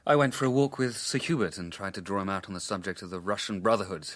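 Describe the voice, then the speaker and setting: An actor playing a matter-of-fact newspaper reporter in a radio drama, measured and candid. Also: male voice, sits low, fairly bright tone, no echo. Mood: earnest, reflective.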